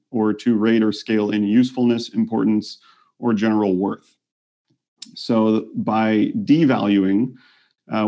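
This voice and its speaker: A man giving a measured, prepared opening statement in an online debate over a video call. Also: fairly low pitch, warm tone. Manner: measured, reading prepared remarks. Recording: dull, lo-fi call audio.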